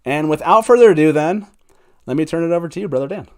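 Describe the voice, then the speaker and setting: A man's voice: fairly high pitch, animated, fast talker, a little mellow. A man hosting an online fan show in a casual, chatty style.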